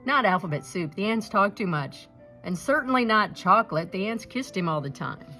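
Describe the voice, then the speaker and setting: A woman reading a children's picture book aloud with expressive, deliberate phrasing. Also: medium pitch, soft background music. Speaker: storybook reader.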